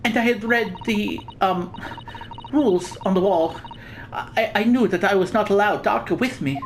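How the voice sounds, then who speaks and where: A woman voicing an anxious, remorseful young Russian woman in a video game, with a Russian accent and a halting, confessional delivery. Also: mid-range voice, a little hiss or hum, natural voice. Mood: anxious, explaining. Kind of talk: reading game dialogue aloud in character.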